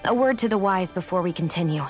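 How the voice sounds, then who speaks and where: A woman voicing a composed, poised adult warrior in a video game, speaking in a measured, formal manner. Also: on the low side, expressive, natural voice. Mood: cautioning. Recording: studio voice over game music.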